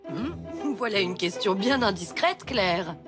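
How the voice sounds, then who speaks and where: A woman voicing a kindly primary school teacher in a French cartoon, with teacherly, gently teasing phrasing. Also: medium pitch, animated, slow pace, loud music behind the voice. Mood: gently amused. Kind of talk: French animated series voice acting.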